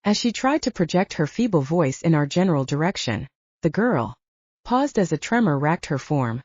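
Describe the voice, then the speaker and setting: A woman's voice: on the low side, mellow, dry recording, muffled recording. A woman reading a scripted first-person story as an audiobook narrator.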